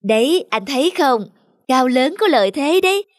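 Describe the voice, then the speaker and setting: Casting: lofty cartoon giraffe, smug and teasing. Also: male voice, very high voice, big pitch swings, moderate pace, dry recording, studio quality. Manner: boastful, teasing. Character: smug, condescending tall male giraffe. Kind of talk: cartoon voice acting.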